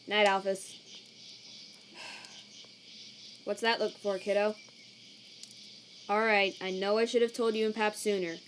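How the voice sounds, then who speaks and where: A woman's voice: medium pitch, flat delivery, a little hiss or hum, natural voice. A laid-back male cartoon skeleton acted out by a woman talks in a middling register. He is slangy and protective, with a weariness that makes him halt over his words. Night insects chirp faintly behind him. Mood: casual, then gentle. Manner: casual, slangy, halting when troubled. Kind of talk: comic dub acting. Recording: cricket night ambience behind.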